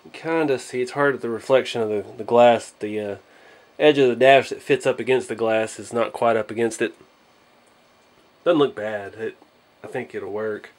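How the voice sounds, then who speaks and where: A man's voice: medium pitch, fairly even intonation, normal speed, darkish tone. Modest man, thinking aloud. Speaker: hobby channel host. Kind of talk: casual hands-on build vlog.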